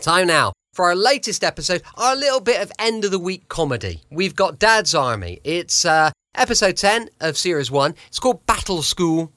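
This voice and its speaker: Male English podcast host, middle-aged, casual monologue, bright tone, animated intonation, dry home recording. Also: sits high, moderate pace, hardly any pauses, no echo.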